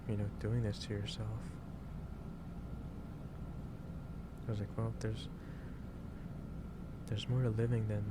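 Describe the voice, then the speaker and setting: Guy doing a kind office boss asmr rp, halting n reassuring, pretty monotone, really noisy recording. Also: fairly low pitch, lightly acted. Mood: caring, reassuring. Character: gentle, caring male office boss, softly lecturing. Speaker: ASMR roleplay performer. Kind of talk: improvised ASMR roleplay, one-sided conversation. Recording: steady loud background noise.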